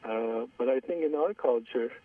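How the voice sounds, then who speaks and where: A man in his forties with an Iranian Armenian accent, calling in to a radio show, hesitant and halting, repeating his words. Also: mid-range voice, no room sound. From Iran. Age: 40s. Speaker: caller. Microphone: phone line. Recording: distant husky phone line.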